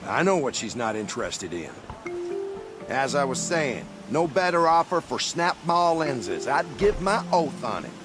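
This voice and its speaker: A brash, animated market hawker. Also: male voice, medium pitch, a little slow, music in the background. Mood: boastful, pushy. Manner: salesman's patter, bickering. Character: brash, boastful male machine-parts hawker. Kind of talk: video game voice acting.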